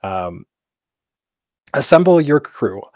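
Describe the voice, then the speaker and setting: A man's voice: medium pitch, very animated, slow pace, a little mellow, no echo. A man giving an informal, conversational instructional talk as a presenter, with a self-deprecating manner.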